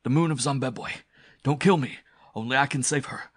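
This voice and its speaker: Man acting out a gasping, desperate villain priest, pitched up. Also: moderate pace, breathy edge, natural voice. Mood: frantic pleading. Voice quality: breathless, strained. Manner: urgent, pleading, boastful.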